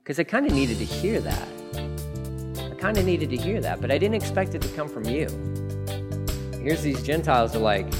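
Pastor, male, fairly high voice, animated sermon delivery, slight hall reverb. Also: normal speed, pauses often, loud music behind the voice. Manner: animated, acts out dialogue with laughs. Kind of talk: verse-by-verse sermon.

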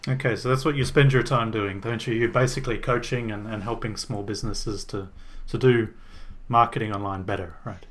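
A man's voice: on the low side, steady tone, a little fast. A young man hosting a podcast, upbeat and chatty as he introduces the show and questions his guest. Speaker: podcast host.

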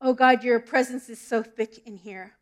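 Woman, middle-aged, from Southern California; pastor-style sermon voice, earnest storyteller, fairly high pitch. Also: fairly even intonation, moderate pace. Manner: earnest, storytelling, with small restarts. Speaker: preacher. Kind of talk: sermon with prayer. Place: church sanctuary.

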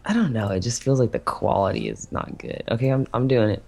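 A man's voice: brisk, creaky, a little mellow. A young man co-hosting a podcast in casual, joking chat, keeping his voice down at night. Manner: joking, keeps voice low for sleepers at home. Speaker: podcast co-host.

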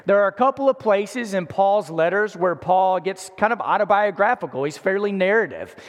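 High-voiced male preacher in an echoing hall. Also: lively intonation, moderate pace. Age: 40s. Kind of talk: conversational sermon. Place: large indoor room before an in-person audience. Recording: echoey hall with long reverb.

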